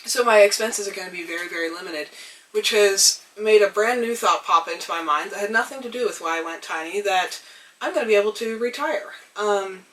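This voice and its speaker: A young woman getting over a cold, her voice a little hoarse, talking thoughtfully to the camera with frequent pauses and ums. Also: mid-range voice, fairly bright tone, far from the mic, poor audio. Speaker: vlogger. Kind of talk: reflective casual talk to camera. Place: tiny house.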